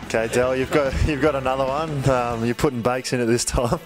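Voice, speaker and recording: A young Australian man hosting casual locker-room interviews on a handheld mic, asking quick, matey questions. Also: mid-range voice, fairly even intonation, music in the background. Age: young adult. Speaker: club video host. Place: club gym and change rooms indoors.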